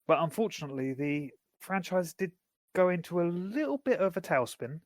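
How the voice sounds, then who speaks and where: A man's voice: sits high, lively intonation, normal speed, no echo, clean studio sound. A man co-hosting a podcast in casual chat, thoughtful and fairly fluent. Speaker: podcast co-host.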